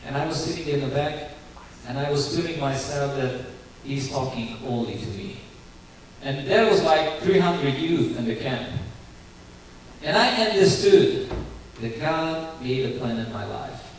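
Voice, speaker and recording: A young man with a Ukrainian accent giving his church testimony in earnest, halting English full of restarts. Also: a little high, lively intonation. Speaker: guest speaker giving testimony. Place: church sanctuary. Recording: very echoey church hall, distant mic, faint hiss.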